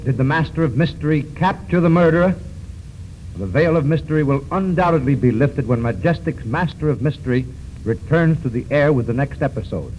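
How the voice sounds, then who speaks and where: A man announcing formally for a 1930s radio serial and reading its sponsor's pitch in a declamatory style. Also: animated, brisk. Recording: muffled, faintly noisy 1930s radio recording.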